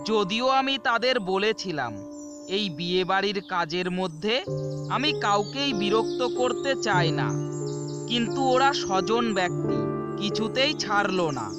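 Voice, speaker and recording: A man playing a shaken young haunted-house survivor, earnest and dramatic, narrating and talking in a horror cartoon. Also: high voice. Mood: uneasy, recounting. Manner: earnest, dramatic, halting repetitions. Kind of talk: first-person horror cartoon narration and dialogue.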